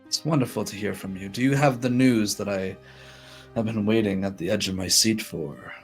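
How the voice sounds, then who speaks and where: A formal, courteous vampire elder speaks on the phone with a low voice, as a man puts him on. Faint music hums underneath. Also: normal speed, slightly rough. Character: older male vampire sire, smooth and expectant.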